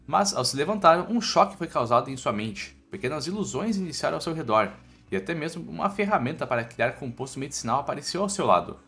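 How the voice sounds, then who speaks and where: A man's voice: on the high side, expressive, fast talker, fairly bright tone. A man with a Brazilian accent narrating a scripted story recap in an informal, slangy, irreverent way. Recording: music bed under the voice. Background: background music.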